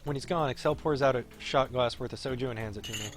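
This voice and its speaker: A man's voice: mid-range voice, fast talker. Man reading a game aloud over music. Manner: puts on voices for the characters. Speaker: streamer reading a visual novel aloud. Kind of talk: live game read-aloud commentary. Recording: music behind the voice.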